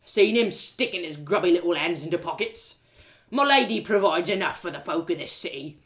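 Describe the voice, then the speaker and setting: Woman putting on a gruff, bullying male castle guard. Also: on the low side, lively intonation, fairly quick. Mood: scornful. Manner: rough uneducated grammar, contemptuous.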